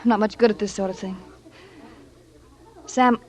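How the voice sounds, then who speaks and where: A woman's voice: medium pitch, steady tone, moderate pace, natural voice. A woman playing a pleading, anxious young wife. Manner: pleading, breathless and hesitant. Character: anxious young wife, former actress, secretive. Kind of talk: 1940s radio drama acting. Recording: old radio broadcast.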